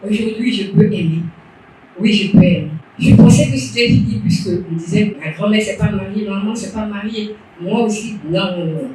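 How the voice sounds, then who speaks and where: A woman's voice: medium pitch, normal speed, dark tone, slight background noise. Woman, 50s, fervent testimony, far off in an echoey meeting hall. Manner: fervent, emphatic, repeating declarations. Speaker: member giving a testimony. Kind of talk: emotional public testimony.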